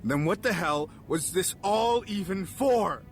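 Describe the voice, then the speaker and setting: Man playing audio drama villain, grandiose, despairing, highish voice, very animated, music underneath. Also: unhurried. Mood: bitter, pleading. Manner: theatrical, grandiloquent. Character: embittered, despairing male villain, grandiose and obsessive.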